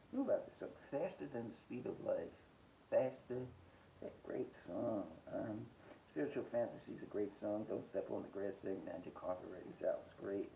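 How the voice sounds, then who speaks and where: A man hosting a casual, rambling music collection review, listing titles haltingly and giving blunt, short verdicts. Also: on the low side, gravelly, dark tone, a little hiss or hum, low-quality recording. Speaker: host of a music collecting podcast.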